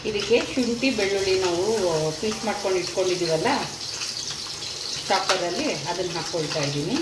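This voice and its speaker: A woman talks viewers through each step of a recipe in a casual, homely way. Her voice sits at a middle pitch. It echoes around the kitchen, and the sizzle of frying comes through clearly beneath it. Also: fairly quick, very noisy. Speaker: cooking channel host. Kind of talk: casual cooking demonstration. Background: frying and sizzling from the pan.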